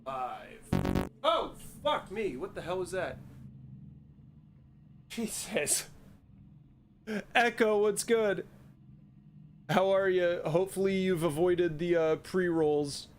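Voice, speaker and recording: A man's voice: high-pitched, takes it slowly, pauses often, soft background music. Joking, profane male game streamer. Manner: jokey, profane, talks to chat. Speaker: gaming streamer. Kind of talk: casual gameplay commentary.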